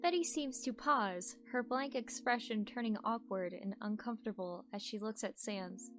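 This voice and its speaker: A woman's voice: a little high, moderate pace, faint music behind. A woman reading fan fiction aloud in a casual, amused way, breaking off to comment. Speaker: voice actor, co-reader.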